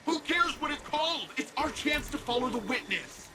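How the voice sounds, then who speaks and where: A man's voice: extremely high pitch, expressive, normal speed, noisy background. A man voicing a brash, eager young hero of a futuristic city in a video game, with a breezy, informal delivery. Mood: defiant. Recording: music playing behind.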